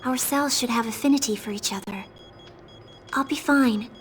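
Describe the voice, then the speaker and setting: A woman voicing a gentle, calm, selfless synthetic girl in a video game cutscene. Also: fairly high pitch, normal speed, a little hiss or hum, close to an everyday voice. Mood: pleading, resolved.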